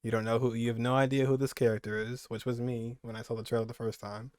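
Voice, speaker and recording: A man's voice: mid-range voice, flat delivery, fast, a little mellow, smooth, dry recording. A young man giving casual, rambling reaction commentary as a gaming streamer, repeating phrases for emphasis.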